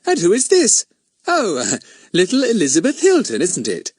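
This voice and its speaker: Man voicing a gracious, chatty young mother, raised pitch. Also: wildly swooping pitch, normal speed, darkish tone, husky, no echo, exaggerated voice. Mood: welcoming. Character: kindly, chatty young mother, welcoming and genteel.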